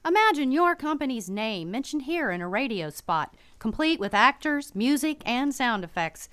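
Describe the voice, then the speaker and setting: A woman's voice: high voice, big pitch swings, moderate pace, a bit bright, clear, resonant tone, dry recording. A woman reading a scripted radio commercial as an announcer in a studio.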